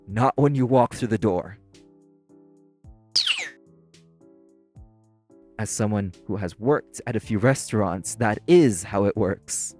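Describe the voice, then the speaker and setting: An actor playing a primly formal, sarcastic, exasperated waiter in an audio roleplay. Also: male voice, on the low side. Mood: strained politeness.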